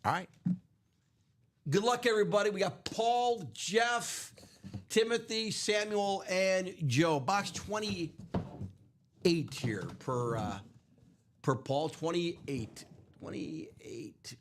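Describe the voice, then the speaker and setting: A slightly high-voiced man hosts a live break, rambling along in easy chatter with lots of "uh"s. His voice is wearing thin and hoarse as he talks on. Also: lively intonation, slow, long pauses, background noise. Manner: chatty, rambling, many fillers. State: losing his voice. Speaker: live card break host. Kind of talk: casual live stream chat.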